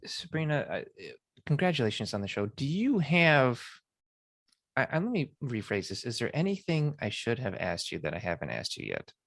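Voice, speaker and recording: A man's voice: medium pitch, wildly swooping pitch, normal speed, creaky, a bit bright, no echo, clean studio sound. A man hosting a podcast interview, enthusiastic and encouraging toward his guest. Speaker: podcast host. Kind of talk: casual interview chat.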